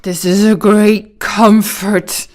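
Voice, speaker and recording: A woman voicing a polite, sad man made of tin in a storybook, speaking in a smooth, courteous, deliberate way. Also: mid-range voice, little pitch movement, close to an everyday voice. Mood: relieved.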